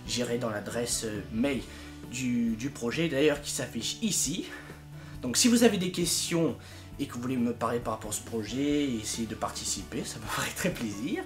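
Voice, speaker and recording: A young man talks informally to the members of his project over background music. His delivery is very lively and emphatic, exaggerated for comic effect, with an exasperated edge. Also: medium pitch, moderate pace. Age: young adult. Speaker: fandub project leader and video maker. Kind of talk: casual informal vlog announcement.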